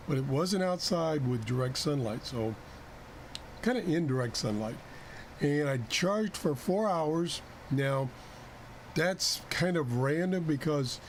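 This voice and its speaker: A man with a slightly high voice presents a casual product test report. He speaks like a plain-spoken, folksy show host. There is some faint noise in the recording. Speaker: host of a radio gadget review show. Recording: clearly noisy home recording.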